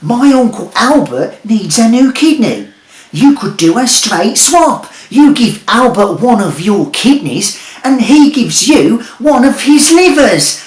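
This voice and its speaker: A woman voicing a loud, greedy male wizard who swindles his customers, shouting and swearing with cheeky patter. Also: fairly high pitch, very animated, slow, roomy sound, voice pushed up. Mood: brash, gleeful.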